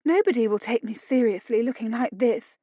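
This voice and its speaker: A woman's voice: fairly high pitch, brisk, no room sound, lightly acted. A woman voicing a desperate young noblewoman, gasping and crying out. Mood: distressed. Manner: gasping, shouting. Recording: dull lo-fi audio.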